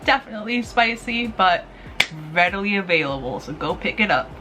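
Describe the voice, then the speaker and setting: A woman's voice: medium pitch, lively intonation, fairly quick, noisy background. A woman chatting casually to camera as a review vlog host, gushing, playful and informal. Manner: gushing, giggly, playful, informal with mild swearing.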